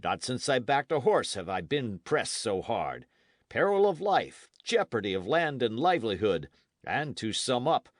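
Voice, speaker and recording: A man acts out a forceful knight from an old English adventure tale. He is boastful and commanding, swearing in archaic phrases. The pitch leaps about with great animation, and the sound is dry. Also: no room sound. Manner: archaic, oath-swearing, declamatory speech.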